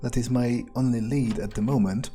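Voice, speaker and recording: A man's voice: mid-range voice, steady tone, normal speed, dark tone, natural voice. A man playing a detached, blunt vampire investigator, musing hesitantly in character during a video game playthrough. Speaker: game player narrating in character. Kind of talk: improvised in-character playthrough, thinking aloud.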